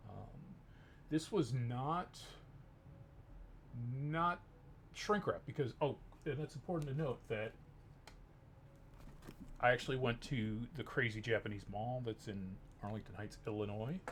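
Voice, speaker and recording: A man talks through a casual haul, deadpan and jokey, trailing off into ums and half-finished restarts. His voice sits at an ordinary middle pitch, and the tone stays ironic throughout. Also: a little hiss or hum. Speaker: vlogger.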